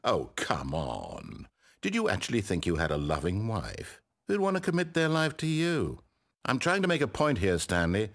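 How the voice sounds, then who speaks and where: A man's voice: on the low side, huge pitch swings, normal speed, vocal fry, exaggerated voice. A man with a British accent playing a wry, condescending, controlling narrator in a game, eloquent and theatrical. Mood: exasperated, scornful. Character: wry, exasperated, controlling male storyteller narrator. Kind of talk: theatrical scripted storybook narration.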